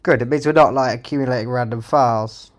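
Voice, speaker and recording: A man with a mid-pitched voice talks through his programming in a casual, unscripted way. He sounds like a developer thinking out loud, musing half to himself as he works. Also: steady tone, fast talker. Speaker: software developer presenting own project. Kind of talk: casual live-coding narration, thinking aloud.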